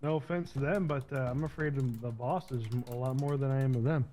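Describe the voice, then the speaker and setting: A man's voice: medium pitch, steady tone, quick pace, dark tone. A man improvising as an arrested suspect in online roleplay, terse and understated. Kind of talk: improvised in-character roleplay talk.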